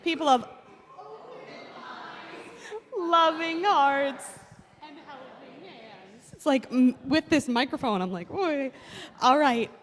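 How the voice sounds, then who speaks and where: A woman's voice: high-pitched, measured pace, pauses often. A woman leading a playful children's segment in a church service, speaking in an upbeat, friendly way. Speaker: children and youth program leader. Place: church sanctuary. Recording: long church reverb.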